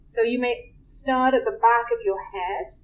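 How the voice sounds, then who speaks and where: A woman guides a relaxation meditation in a soft, unhurried voice that sits a little high. She speaks very slowly and leaves long pauses between phrases, letting each instruction settle before moving on. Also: low-quality recording.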